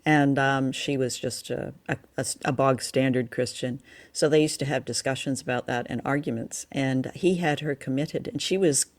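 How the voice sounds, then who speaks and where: A woman's voice: very deep voice, fairly even intonation, moderate pace, no echo. A woman co-hosting a radio show, chatting about old drama and often searching for her words. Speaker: radio show and podcast co-host.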